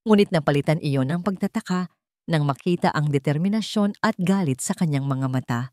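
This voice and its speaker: A woman's voice: low-pitched, a little fast, a little mellow, dry recording, studio quality. A woman storyteller reading scripted fiction in a dramatic narration style.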